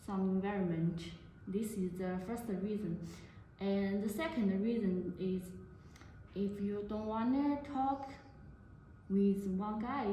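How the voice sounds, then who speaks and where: A woman giving a product demonstration to camera in halting, non-native English phrasing. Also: mid-range voice, a little mellow. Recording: very echoey room, faint noise.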